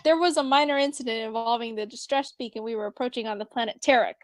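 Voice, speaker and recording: A woman plays an earnest alien man with a high voice and a dry, close sound. He rambles with awkward cheer and muddles his sayings, as in an amateur cartoon fan drama. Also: fast talker, no echo, close to an everyday voice. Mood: rambling, breezy. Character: chatty, earnest alien man reporting awkwardly by video call. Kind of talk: fan fiction read as acted drama.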